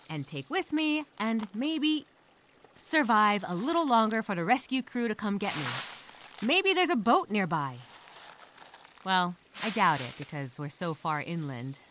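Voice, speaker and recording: A woman's voice: medium pitch, sharp tone, slight background noise. A woman voicing an anxious, rambling castaway who narrates a story as a dramatic, theatrical first-person journal. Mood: paranoid, uneasy. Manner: theatrical, overthinking, repeats herself, self-questioning.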